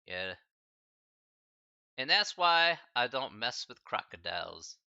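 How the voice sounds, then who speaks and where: A man's voice: medium pitch, big pitch swings, slow pace, creaky, bright, forceful tone, clear, resonant tone, no room sound, highs cut off. A man teaching a live-streamed math class in a casual, chatty way, full of fillers and slang asides. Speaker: math instructor.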